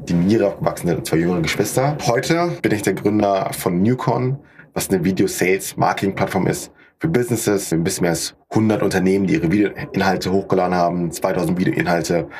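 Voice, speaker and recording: A man's voice: sits low, fast talker, darkish tone, slight background noise. A young man from Germany answering conversationally as a podcast guest, dropping English business words into his speech. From Paderborn, Germany. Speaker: podcast guest, startup founder.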